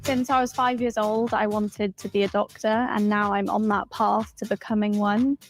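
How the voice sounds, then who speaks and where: A woman's voice: mid-range voice, steady tone, normal speed. A woman in her twenties from Wales with a Welsh accent, giving a scripted pageant self-introduction. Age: 20s. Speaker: pageant contestant. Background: music.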